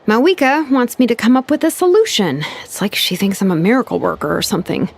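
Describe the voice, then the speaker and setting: A woman voicing a wry young engineer who muses aloud in an animated way. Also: a little high, fast talker, slightly rough, heavily acted.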